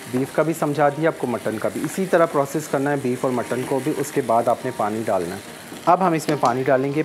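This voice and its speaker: Guy chef on tv, explains every step slowly, lapel mic, bit of sizzle behind. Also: medium pitch, a little mellow, slight background noise. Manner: instructive, repeats steps, switches between Urdu and Sindhi. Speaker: TV cooking show chef. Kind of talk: cooking demonstration. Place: TV studio kitchen set.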